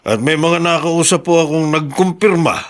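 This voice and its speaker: Deferential aide played by a man, slightly high male voice, careful, respectful, radio drama. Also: moderate pace, lightly acted. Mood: dutiful reporting. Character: deferential errand man reporting to his mayor. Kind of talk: Tagalog radio drama acting.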